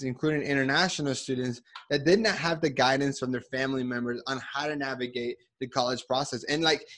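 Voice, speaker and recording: A man from Colombia hosting an interview in an eager, energetic manner. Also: medium pitch. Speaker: host. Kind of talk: casual interview chat.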